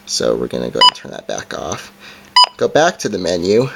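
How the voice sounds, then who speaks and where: A man giving a casual, halting hands-on gadget demonstration in an unscripted way. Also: sits low, wildly swooping pitch, gravelly. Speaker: product reviewer. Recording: noisy amateur home recording, clicks.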